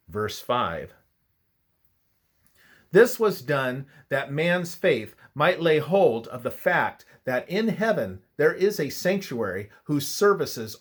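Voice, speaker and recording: A man reads a religious text aloud at an unhurried, measured pace. His medium-pitched voice moves widely up and down, and his solemn phrases sink at their endings. The delivery is deliberate and clearly scripted.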